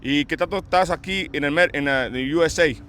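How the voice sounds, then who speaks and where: A man conducting an informal outdoor interview, asking halting questions in slangy speech with English slipping in. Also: on the high side, a bit bright. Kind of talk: casual street interview. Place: outdoors at a store parking lot. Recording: outdoor street noise, traffic.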